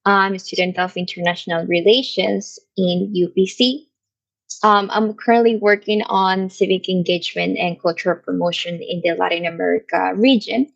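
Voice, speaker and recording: Gravelly young Peruvian woman. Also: on the low side, steady tone, moderate pace. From Peru. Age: 20s. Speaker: international relations student. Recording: dull lo-fi video call audio.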